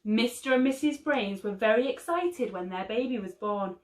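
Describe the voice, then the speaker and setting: A woman teacher reading a picture book aloud for children, slow and expressive. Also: fairly high pitch. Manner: slow, expressive, lively storytelling. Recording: roomy echo.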